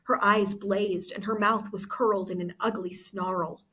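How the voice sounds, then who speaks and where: A woman's voice: medium pitch, steady tone, measured pace, bright, forceful tone, breathy edge. A woman teacher reading a novel aloud expressively to students. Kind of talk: expressive book read-aloud.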